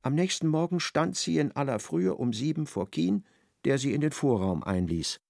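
A man narrating a radio play in an ironic, expressive storytelling manner. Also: a little high, moderate pace, creaky, no room sound, studio quality.

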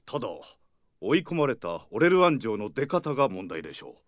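An actor playing a loyal older knight, formal, earnest and firm, in a radio drama. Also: male voice, medium pitch, sing-song, a little fast, no echo, clean studio sound, natural voice. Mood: grave. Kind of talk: Japanese radio drama acting.

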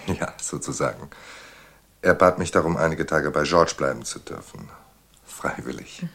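A composed, courteous institution official in a radio play. His voice is extremely deep as he explains things formally. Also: a little slow, creaky, a bit bright, husky, heavily acted. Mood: calm, courteous. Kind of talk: audio drama dialogue.

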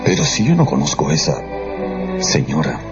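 A man playing a stiff, embarrassed aristocratic count with a halting, formal delivery in a radio drama. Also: a bass voice, huge pitch swings, heavily acted. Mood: evasive, defensive. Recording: dull radio drama recording with music.

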